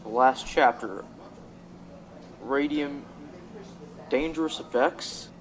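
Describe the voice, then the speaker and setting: A man's voice: medium pitch, takes it slowly, close to an everyday voice, poor audio. A young man acting in a radio drama as a defensive, troubled young company executive. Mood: hesitant, flustered. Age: teenager.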